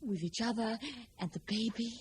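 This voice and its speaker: An actress playing a brave, gentle young wife and mother, soft-spoken and wistful, in an old-time radio drama. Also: on the high side, normal speed, natural voice. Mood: tender, wistful. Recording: noisy old radio serial recording.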